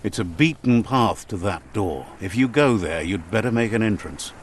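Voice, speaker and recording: A man doing scripted narration for a video game in a measured storytelling manner. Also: lowish voice, expressive, slight background noise. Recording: game audio over music. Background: faint game music.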